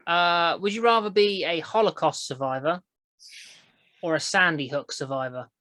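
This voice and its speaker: Low-voiced young woman, blunt. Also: moderate pace, fairly bright tone, no room sound. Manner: blunt, giggly, rambling. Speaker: podcast host. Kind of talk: crude casual chat.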